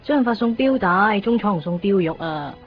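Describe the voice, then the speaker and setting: A woman's voice: medium pitch, expressive, fairly quick, lightly acted. An actress playing a sincere, hopeful young woman in a Hong Kong Cantonese radio drama. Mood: matter-of-fact. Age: young adult. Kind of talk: naturalistic 1990s radio drama dialogue and inner monologue. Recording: muffled 1990s radio drama, faint music.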